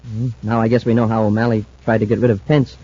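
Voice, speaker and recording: A young space cadet, husky and fast-talking, eager and respectful. It is vintage radio serial acting, heard through a muffled old recording. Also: male voice, on the low side, flat delivery, mellow. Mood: puzzled, eager. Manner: deferential, earnest, quick to respond. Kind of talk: 1950s radio drama acting.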